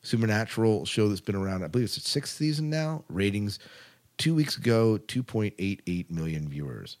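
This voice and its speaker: Deep-voiced American man hosting a podcast. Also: lively intonation, normal speed, darkish tone. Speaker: podcast host. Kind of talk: podcast news segment, partly read, partly casual chat.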